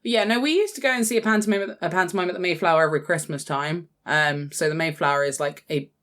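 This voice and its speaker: A woman's voice: fairly low pitch, fast talker, fairly bright tone. A woman, talking casually as a podcast co-host. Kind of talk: casual chat.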